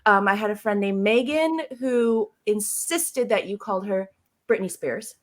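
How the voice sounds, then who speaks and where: An American woman talks casually as a guest on a podcast. Her pitch sits in the middle, and she tells personal stories in an emphatic, animated way, dropping in joking asides and acting out little quotes. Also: a little slow. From Seattle area, United States. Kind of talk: casual conversational storytelling.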